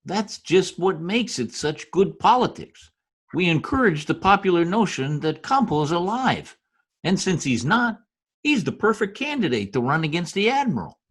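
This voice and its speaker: A man playing a brisk, glib, cynical political fixer in an old-time radio drama. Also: high-pitched, sing-song, fairly bright tone, breathy edge, full character voice. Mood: scheming. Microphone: video call.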